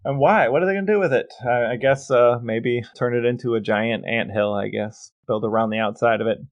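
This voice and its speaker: A man's voice: medium pitch, fairly quick, no room sound, dull audio. A man co-hosting a casual podcast, explaining facts and figures at length with some banter. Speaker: podcast co-host.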